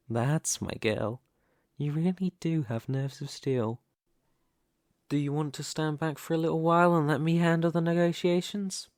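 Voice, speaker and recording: A man playing a commanding, possessive mafia boss who is devoted to his lover, in an ASMR roleplay, with a measured, persuasive delivery. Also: fairly high pitch, steady tone, a little mellow, no echo, clean studio sound, close to an everyday voice. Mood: tender, protective.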